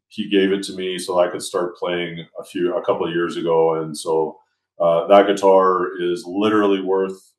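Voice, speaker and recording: A middle-aged man from France, a podcast guest on a video call, talking in a relaxed, self-deprecating way with lots of fillers. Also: low voice. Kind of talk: casual conversation. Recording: echoey room.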